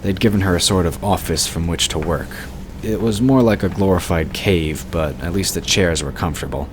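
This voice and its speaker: A man with a deep voice delivers scripted fantasy narration. There is clear noise and ambience behind his reading. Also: brisk.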